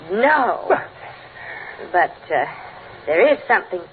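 A narrow, faintly hissy old broadcast of an American wife in a radio comedy. She is middle-aged, affectionate and gossipy, with a medium-pitched voice. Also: big pitch swings, extremely slow. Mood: gossipy, hushed concern. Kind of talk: 1940s radio comedy acting.

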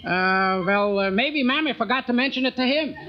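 High, cornball Southern bluffer. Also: male voice, normal speed. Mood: overeager bluffing. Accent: exaggerated fake American Southern. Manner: bumbling, folksy, overeager bluffing. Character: brash young man faking a cornball Southern drawl. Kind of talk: old-time radio sitcom acting. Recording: noisy, dull old radio recording.

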